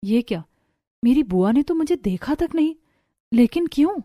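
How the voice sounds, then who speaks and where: A woman as a meek, plaintive cartoon stepdaughter, fairly high. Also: sing-song, normal speed, highs cut off. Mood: dejected. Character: mistreated, sorrowful young stepdaughter, meek and lonely. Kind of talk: Hindi animated family melodrama acting.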